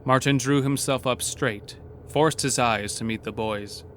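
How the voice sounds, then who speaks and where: A man narrating a scripted horror story in a measured, literary, dramatic manner. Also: mid-range voice, a bit bright, a little hiss or hum.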